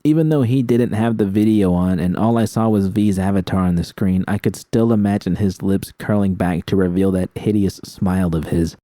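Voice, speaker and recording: A man's voice: deep voice, moderate pace, hardly any pauses, mellow, dry recording. A man reading first-person fiction as an audiobook narrator, with dramatic storytelling delivery.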